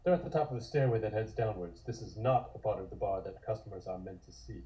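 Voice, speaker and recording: A man's voice: fairly low pitch, quick pace, warm tone. A man reading scripted scene narration for a comic table read.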